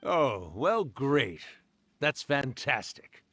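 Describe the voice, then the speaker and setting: A man's voice: medium pitch, very animated, very slow, pushed and bright, no echo, heavily acted. A man voicing a genial, wry old yokai storyteller in a video game, speaking theatrically and with affection. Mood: fond. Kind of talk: video game character acting.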